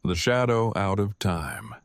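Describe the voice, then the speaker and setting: A man's voice: sits low, very animated, measured pace, no echo, studio quality, full character voice. A man playing an awkward, bookish nerd with a precise, wordy, formal delivery in an audio drama. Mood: formal, reserved. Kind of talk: fan audio drama acting.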